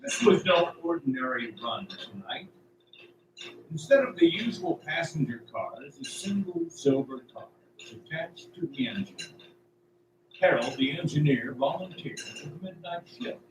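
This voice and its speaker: A man narrating a radio play as a scripted story, in a theatrical, deliberate, suspenseful way. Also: fairly high pitch, animated, noisy background. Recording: roomy, distant, music behind. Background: music.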